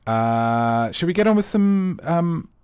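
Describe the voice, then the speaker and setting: A man's voice: a little high, lively intonation, takes it slowly, darkish tone, clean tone, dry recording, clean studio sound. Rambling, playful man hosting a quiz. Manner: rambling, playful asides, teasing the players. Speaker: quiz host. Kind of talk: live streamed comedy quiz hosting.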